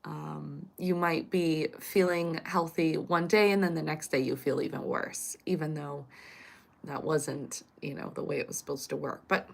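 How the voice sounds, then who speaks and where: Woman, raspy, congested, slightly low voice, rambling live stream chat. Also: steady tone, a little slow. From Canada. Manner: rambling and self-correcting. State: congested from a cold.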